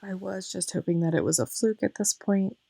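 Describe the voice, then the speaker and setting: A woman in her thirties, hoarse from losing her voice, chatting through a craft video in a casual voice-over and explaining each step. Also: medium pitch, brisk, mellow, no room sound. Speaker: planner vlogger.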